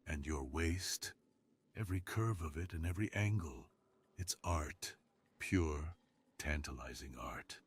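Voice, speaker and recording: A man voicing an alluring, dominant crime boss in an intimate ASMR roleplay, speaking in a slow, teasing, hushed way. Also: rumbling low, animated, breathy edge, exaggerated voice. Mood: dominant, admiring. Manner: slow, teasing, hushed delivery that drops into whispers. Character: alluring, dominant, seductive male crime boss with a tender side.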